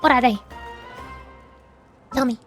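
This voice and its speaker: A woman's voice: high voice, slow, faint music behind. A woman chatting casually as she plays a game on stream, a VTuber talking to viewers.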